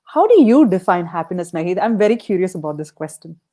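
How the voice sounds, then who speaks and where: A woman's voice: on the low side, big pitch swings, fast, warm tone. A woman podcast host interviewing in a conversational style, reflecting on what the guest says with appreciative, affirming replies.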